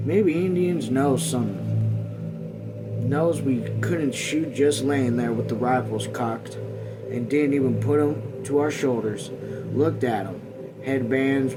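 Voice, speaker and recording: A man reading a novel aloud as a read-along host, somewhat haltingly, stumbling over words and voicing folksy dialogue. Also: medium pitch, heavy background noise. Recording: music playing behind the voice. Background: music.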